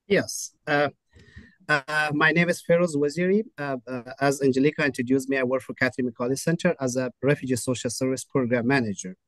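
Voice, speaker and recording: Halting man, dry sound. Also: mid-range voice, steady tone, dry recording, poor audio. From Afghanistan. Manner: halting, many uh fillers and restarts. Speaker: refugee services program manager. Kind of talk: panel introduction.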